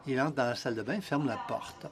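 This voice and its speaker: A man introducing a speaker and then interpreting her talk, relaying it in the first person in a measured, even way. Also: medium pitch. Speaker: interpreter and emcee. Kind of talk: live interpretation of a seminar talk.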